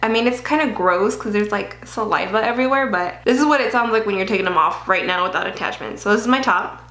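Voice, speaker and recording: A woman chats through a personal vlog in a loose, filler-heavy way, now and then swearing. Her voice sits at a middle pitch and carries a slight lisp from dental aligners. The recording has a roomy echo. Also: quick pace, a bit bright. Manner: chatty, many fillers, occasional swearing. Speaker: vlogger.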